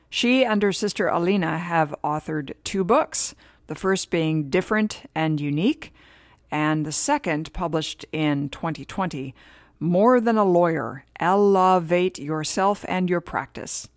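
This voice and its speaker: A woman's voice: lowish voice, no room sound, clean studio sound. A woman hosting an interview podcast, reading an upbeat scripted introduction and then chatting with her guest. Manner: enthusiastic, emphatic list delivery. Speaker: podcast host.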